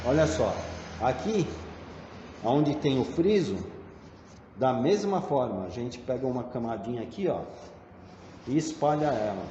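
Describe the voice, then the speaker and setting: A man with a Brazilian accent giving a hands-on tutorial in a deliberate, step-by-step explanatory way. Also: mid-range voice, mellow. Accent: Brazilian Portuguese. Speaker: tradesman demonstrating door painting. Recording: dull, echoey, reverberant room with background noise.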